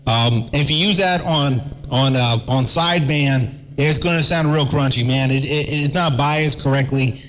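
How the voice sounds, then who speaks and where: A man's voice: mid-range voice, a little slow, fairly bright tone, low-quality recording. A man chatting casually over amateur radio, laid-back and calling everyone "man".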